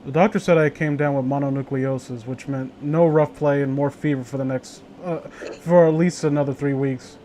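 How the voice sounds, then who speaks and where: A man's voice: mid-range voice, steady tone, normal speed, warm tone, a little hiss or hum. A man hosting a casual read-along with friends, joking in a crude, teasing way. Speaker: host reading horror stories aloud with friends.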